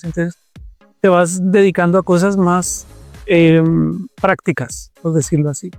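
Man, warm dark tone, dry close sound, faint music behind, relaxed and reflective. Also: a little high, no room sound. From Colombia. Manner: thoughtful, repeats words while searching for them. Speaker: TV producer and director interviewed. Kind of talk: relaxed conversational interview answers.

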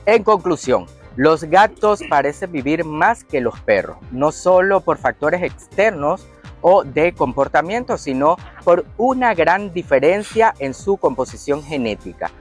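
A man's voice: on the high side, animated, measured pace, soft background music. A man co-hosting a show, reading a segment and chatting with hesitant fillers when speaking freely. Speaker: show co-host. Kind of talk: reading segment, casual chat.

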